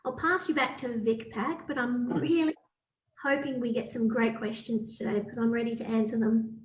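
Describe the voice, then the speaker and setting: A woman with a fairly high voice presents informally to prospective students. She is encouraging and stresses key points by repeating them. The sound is strongly echoey and roomy. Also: fairly even intonation, moderate pace, slight background noise. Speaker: university college representative. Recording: roomy, echoing voice pickup.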